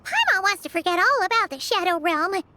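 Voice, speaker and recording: A woman voicing a small, chatty, easily spooked fairy girl companion in a video game, whiny and excitable. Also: extremely high pitch, quick pace, background noise. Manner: refers to self in third person, whiny, excitable. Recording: loud background music.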